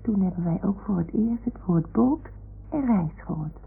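Radio play role: naive little toy dog, inquisitive and childlike, creaky voice, tinny noisy old recording. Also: female voice, mid-range voice, expressive, normal speed. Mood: naive, confiding.

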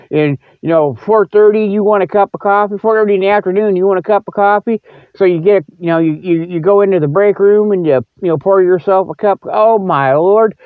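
A man's voice: sits high, clear, resonant tone, no room sound, narrow, tinny audio. A man hosting a radio show with a casual, rambling comic monologue, speaking in a folksy, colloquial way and teasing his listeners. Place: cold spot, apparently outdoors.

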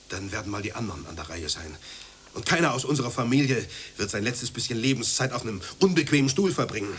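A man's voice: mid-range voice, a bit bright, natural voice. An actor playing a poor, prudish father hungry for respect, grumbling and ranting in a radio play. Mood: brooding, bitter, then proud, defiant. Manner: grumbling, ranting, swinging between brooding and heated outburst. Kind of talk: German radio play acting. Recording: noisy 1980s radio play recording.

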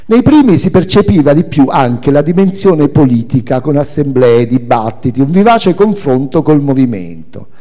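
Expressive man reading aloud in reverberant hall. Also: on the high side, normal speed, few pauses. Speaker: reader at a spoken-word event with music. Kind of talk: expressive literary reading of a memoir. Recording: long hall reverb, music underneath. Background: music.

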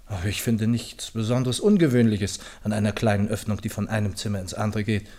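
An actor playing a loyal, sober, slightly puzzled gentleman sidekick in a radio play, measured, polite and earnest. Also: fairly low pitch, natural voice.

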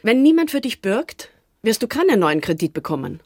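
An actress playing a determined, wronged lover in an absurdist radio drama, insistent and literal-minded. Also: female voice, on the high side, sing-song, fairly quick, darkish tone, no echo, clean studio sound. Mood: accusing. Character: determined, wronged lover demanding her new life.

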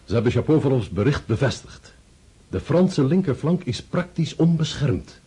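Earnest military commander, radio drama, dry irony, mellow dark tone, breathy rasp. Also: male voice, mid-range voice, animated, moderate pace. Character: earnest, dry, blunt Russian staff general. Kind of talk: radio play acting.

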